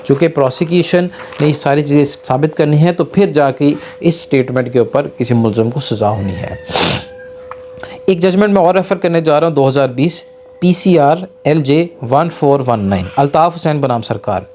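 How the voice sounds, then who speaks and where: Mellow-voiced Pakistani man lecturing on law. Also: on the high side. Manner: reads English quotations slowly and haltingly, then explains. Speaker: advocate giving a law lecture. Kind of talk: explanatory legal lesson mixing in English legal passages read aloud. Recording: noticeable background noise and hum.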